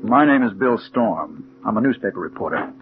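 An actor playing a wary, wisecracking newspaper reporter in a clipped, wry, hard-boiled 1940s radio drama style. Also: male voice, on the low side, expressive, moderate pace, music in the background, lightly acted. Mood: brooding. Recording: tinny, noisy 1940s radio recording.

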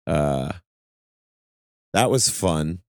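A man co-hosting a podcast in casual chat, animated, laughing at himself and swearing freely. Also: a bass voice, very slow, no room sound, clean studio sound. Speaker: podcast co-host and touring musician.

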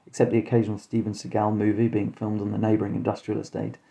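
A dark-toned, leering barkeep, acted out by a man for a spoof gothic story. He speaks in grand, old-fashioned warnings, as if every direction were a dire omen. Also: on the low side, completely flat delivery, quick pace, gravelly. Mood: leering, ominous. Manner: archaic, portentous phrasing.

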